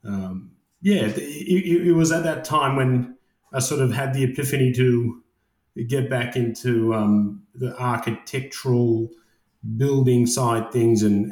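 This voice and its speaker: A man's voice: medium pitch, a little mellow, echoey room. A man in his late thirties from Iran, raised in Australia, talking as a guest in a casual interview and often hesitating with fillers and restarts. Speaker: guest, construction project manager.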